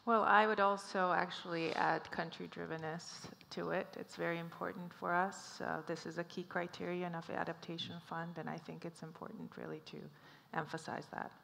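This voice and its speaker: A woman's voice: on the low side, little pitch movement, a little fast, vocal fry, a bit bright. A woman on a panel, speaking firmly and with emphasis. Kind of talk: panel discussion. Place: conference hall. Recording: distant, reverberant hall with faint noise.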